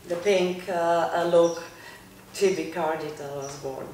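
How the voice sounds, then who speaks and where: A woman's voice: low voice, darkish tone, a little hiss or hum. Middle-aged Polish woman, non-native English, hesitant; recorded far off in a big echoey garage. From Poland. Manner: halting second-language English, repeats words. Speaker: interview guest, car detailer. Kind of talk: casual interview answers. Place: large workshop garage, indoors.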